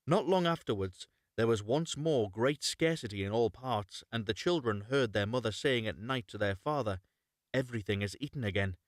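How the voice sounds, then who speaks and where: A man narrating a storybook live and unrehearsed, in a measured storytelling manner with occasional stumbles. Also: medium pitch, animated, dry recording, clean studio sound. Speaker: storyteller, voice-over reader.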